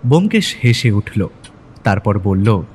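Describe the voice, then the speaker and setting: A man's voice: on the low side, big pitch swings, unhurried, a little mellow. A man narrating a scripted audio story in the first person. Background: clear music.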